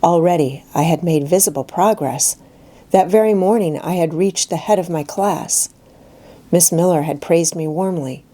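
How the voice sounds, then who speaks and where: A woman's voice: lowish voice, dark tone. A woman reading a classic novel aloud as scripted literary narration. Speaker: audiobook narrator.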